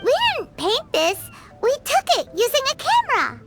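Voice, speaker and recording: A woman's voice: extremely high pitch, huge pitch swings, normal speed, over loud music, heavily acted. A woman voicing a tiny, childlike fairy companion in a video game, cheeky and curious, with a perky, cutesy way of talking. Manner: perky, cutesy, speaks of herself by name. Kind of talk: video game character acting.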